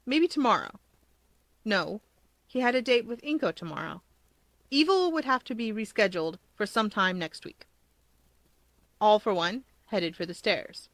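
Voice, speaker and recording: A woman's voice: medium pitch, lively intonation, a little slow, pauses often, a bit bright, no room sound. A woman reading fan fiction aloud as a scripted story narration.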